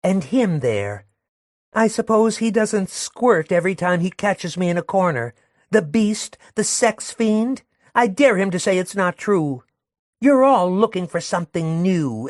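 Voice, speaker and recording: A man's voice: very animated, unhurried, no room sound, studio quality. A man voicing a furious young working-class woman who rails and jeers with scorn. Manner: shrill, jeering, railing.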